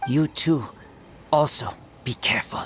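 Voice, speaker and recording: A man voicing a wild, wolf-raised young man who speaks in halting, broken phrases. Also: slight background noise, lightly acted. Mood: wary. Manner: halting broken speech, low and slow.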